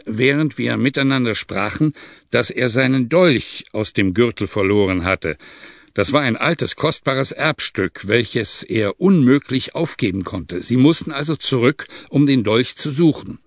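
A man's voice: mid-range voice, lively intonation, a little slow, dry recording. A man voicing a Bedouin tribesman giving a matter-of-fact report in an audiobook reading.

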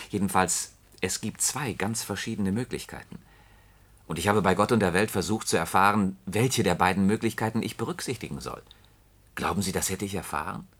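An actor playing a puzzled, frustrated planning engineer in a science-fiction radio play, talking in a conversational, explanatory way. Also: male voice, lowish voice, a bit bright, slightly rough. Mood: frustrated, baffled. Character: puzzled, frustrated male planning engineer doubting his company.

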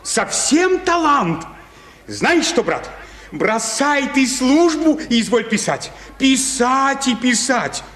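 Gushing excitable fan, super high voice, drawn out exclaiming, echoey radio play. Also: male voice, slow, heavily acted. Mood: rapturous. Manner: exclaiming, declamatory. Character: gushing, excitable male guest, a flattering enthusiast. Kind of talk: Russian radio play acting. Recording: roomy, echoing radio studio.

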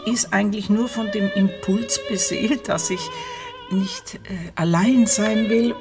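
A woman's voice: mid-range voice, sing-song, slow. A woman singer talking reflectively in an interview. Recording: loud opera music over the voice. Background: loud opera music.

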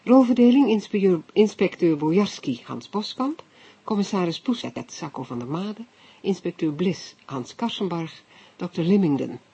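A male announcer reads scripted radio credits in a very husky, mellow voice. It sits slightly high, and the recording is a little dull. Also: animated, moderate pace.

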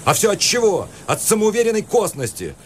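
Earnest, exasperated professor. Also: male voice, high-pitched, very animated, fairly quick, slight background noise. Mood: exasperated, indignant. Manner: lecturing, indignant, articulate. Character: earnest, exasperated, cultured Moscow university professor. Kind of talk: Russian radio play acting.

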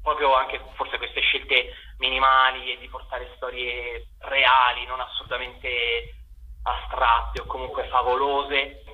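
A man's voice: mid-range voice, monotone, takes it slowly, phone-quality sound. A young man answering interview questions as a theatre director, in an earnest, wordy and articulate way. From Italy.

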